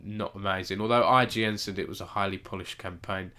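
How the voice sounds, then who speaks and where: A man's voice: low-pitched, fairly even intonation, a little fast, bright, forceful tone. A man with a cold, sounding nasal as he hosts a rambling, self-correcting live-stream esports news roundup and chats with viewers.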